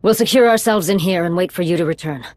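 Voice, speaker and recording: A woman playing a devoted, steadfast fugitive in a video game, speaking in a measured, earnest way. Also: lowish voice, a little mellow, dry recording, lightly acted. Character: steadfast, devoted female fugitive, soothing and resolute.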